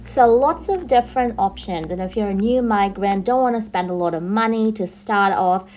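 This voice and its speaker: A woman's voice: mid-range voice, normal speed, a little mellow. A young adult woman narrating a casual shopping tour as a vlogger, chatty and upbeat as she points out products and prices. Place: in a car on the motorway.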